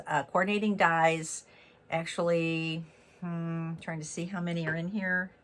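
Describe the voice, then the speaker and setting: Male craft host, raspy and congested from a cold, upbeat chatty delivery. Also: high-pitched, sharp tone. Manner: chatty and upbeat, with many fillers and self-corrections. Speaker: stamping demonstrator and host. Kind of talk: casual card-making tutorial.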